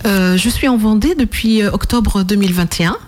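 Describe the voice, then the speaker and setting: A woman's voice: medium pitch, brisk, darkish tone. A woman in her forties from near Jerusalem, with a Palestinian accent, answering questions as a radio interview guest in a hesitant way with frequent fillers. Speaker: guest, language trainer.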